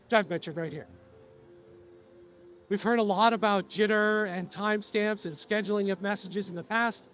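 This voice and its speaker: A man with a notably high voice walks an audience through software features at a trade-show presentation. He is losing his voice and coughs now and then, but keeps a steady, explanatory pace, leaning on "uh" fillers and small restarts. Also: normal speed, soft background music.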